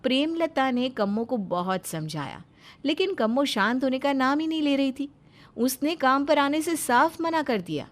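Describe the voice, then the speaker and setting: A woman's voice: high-pitched, expressive, normal speed. A woman reading scripted narration as the storyteller of a moral story.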